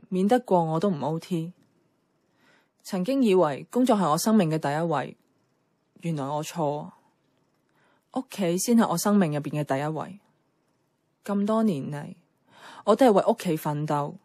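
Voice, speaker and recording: A woman's voice: lowish voice, darkish tone, no room sound, studio quality. An actress playing a weary, strong-willed office woman in a Hong Kong Cantonese radio drama, mixing in English words. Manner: code-switches into English words. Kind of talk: radio drama dialogue and inner-monologue narration.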